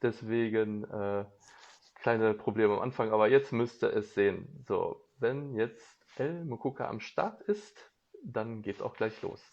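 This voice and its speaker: A man's voice: fairly low pitch, a little slow, gravelly, a little mellow. German guy hosting a live q&a, laughs a lot, lots of ums, muffled stream sound. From half German, half Japanese. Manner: chatty, laughs often, hesitant fillers. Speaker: label host. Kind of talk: casual livestream interview. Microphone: phone livestream.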